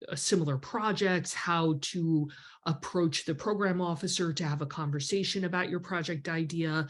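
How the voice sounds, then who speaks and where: Congested woman in her 50s, low-pitched, chatty host explaining on a home video call. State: congested from a cold. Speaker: grant writing mentor hosting a members call. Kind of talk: casual webinar talk with many ums. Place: home office with bookshelves. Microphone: webcam on a video call.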